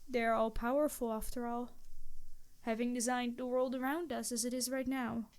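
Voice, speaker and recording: A woman's voice: a little high, clean tone. A woman playing a courteous, centuries-old female vampire in love, speaking formally and hesitantly in an intimate roleplay to the listener. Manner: formal, old-fashioned wording, hesitant, apologetic.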